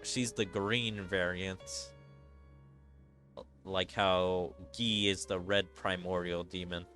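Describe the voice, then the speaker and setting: A man's voice: sits low, level tone, takes it slowly, bright, forceful tone. Guy doing casual gaming commentary, game music playing behind him. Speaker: streamer playing a mobile game.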